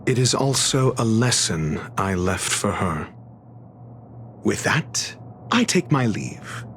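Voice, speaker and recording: An actor playing an arrogant, fastidious scholar-doctor in a video game, speaking in a pompous, measured, formal way. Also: male voice, mid-range voice, slight background noise. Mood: composed, aloof. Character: arrogant, erudite male scholar-doctor, fastidious and disdainful of fools. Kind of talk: scripted video game cutscene dialogue.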